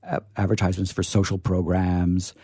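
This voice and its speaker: A low-voiced man hosts an informal talk before an audience. His tone is soft and mellow, picked up dry and close. He hesitates, repeats phrases for emphasis and slips into playful formality. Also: level tone, normal speed, slightly rough, dry recording. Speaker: library staffer hosting a discussion.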